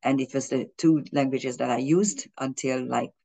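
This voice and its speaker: A woman's voice: extremely low pitch, steady tone, moderate pace, vocal fry, dark tone. Fumbling woman astrologer. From Hungary. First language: Hungarian and German. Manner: fumbling, with frequent restarts and fillers. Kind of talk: explaining an astrology chart in a video.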